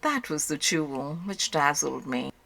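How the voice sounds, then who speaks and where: A woman with a low-pitched voice narrates an audiobook. She reads literary prose from a script, as a narrator of written work. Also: expressive, unhurried, vocal fry, fairly bright tone.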